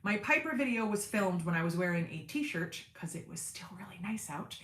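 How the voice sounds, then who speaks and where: A woman chatting on a sewing livestream, rambling happily through viewer comments with plenty of fillers and wry self-deprecating asides. Her everyday voice sits fairly deep. The sound has a noticeable room echo, as from a home studio. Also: lively intonation, brisk, fairly bright tone. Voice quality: deep speaking voice in everyday talk. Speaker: sewing channel host on a livestream. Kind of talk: casual livestream chat reading viewer comments.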